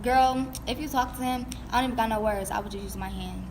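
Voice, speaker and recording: A woman's voice: fairly high pitch, fast, a little mellow, lightly acted. A young-sounding woman playing a blunt, sassy schoolgirl in casual skit dialogue with her friends. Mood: blunt, disapproving. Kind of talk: stage play video skit, casual dialogue. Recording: clear ambient background noise.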